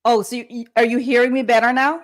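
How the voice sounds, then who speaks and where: Woman interview host, higher-pitched voice, conversational delivery. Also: fairly even intonation, fast talker.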